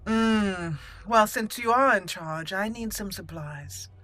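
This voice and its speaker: A woman hosting a roleplay gaming video, mixing casual commentary with deliberate readings of her character's dialogue. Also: mid-range voice, pushed and bright.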